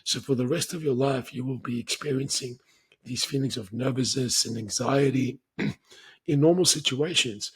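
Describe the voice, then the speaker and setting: A man, talking alone on his podcast and explaining things in a measured way, often restarting his words. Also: medium pitch, slightly rough, low-quality recording. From grew up speaking Portuguese. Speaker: podcast host. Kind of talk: solo explanatory talk.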